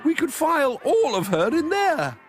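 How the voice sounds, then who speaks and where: A man voicing a sweet but sinister, excitable woman librarian. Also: extremely high pitch, moderate pace, voice pushed up, full character voice. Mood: gushing. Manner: fluttery, gushing, excitable.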